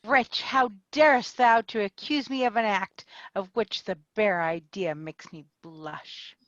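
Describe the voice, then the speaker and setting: A woman's voice: mid-range voice, measured pace, lo-fi sound, pitched below the performer's own voice, close to an everyday voice. A woman reading the part of a haughty young woman who feigns outraged innocence, speaking with theatrical indignation.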